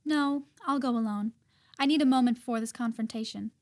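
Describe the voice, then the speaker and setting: A woman's voice: fairly high pitch, brisk, a little mellow, close to an everyday voice. A woman acting an eager, proud and lovelorn young woman in a period radio drama, breezy yet formal. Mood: uneasy, indignant.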